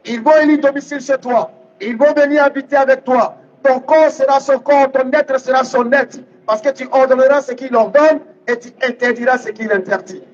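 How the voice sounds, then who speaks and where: Guy preaching islam, really high voice, teaching hard and repeating lines, muffled lo-fi audio. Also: fairly even intonation, moderate pace. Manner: emphatic, didactic, repeats readings phrase by phrase. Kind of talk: public religious debate preaching. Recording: dull, lo-fi sound.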